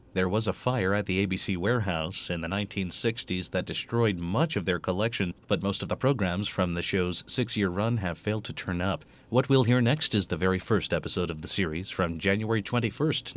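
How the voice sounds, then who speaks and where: A man hosting a vintage radio program, giving measured, informative background. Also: deep voice. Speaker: radio host.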